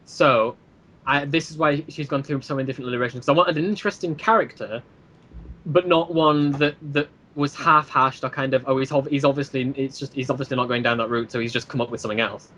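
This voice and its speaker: A man's voice: mid-range voice, quick pace, sharp tone. A man chatting casually as an interview guest, explaining his series in a rambling, eager way. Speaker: guest, creator of an audio drama series.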